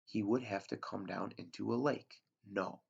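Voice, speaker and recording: A man's voice: lowish voice, moderate pace, gravelly, slightly rough. A man, a teacher, reading a novel aloud to his class, with an unpolished delivery that sometimes stumbles and restarts. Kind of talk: read-aloud storybook narration. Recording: dull lo-fi recording.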